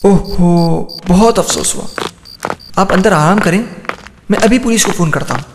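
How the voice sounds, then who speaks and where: Casting: male actor as a formal, concerned cartoon doctor, high-pitched and grave, in long hall reverb. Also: moderate pace, soft background music. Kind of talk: dramatized Urdu cartoon voice acting.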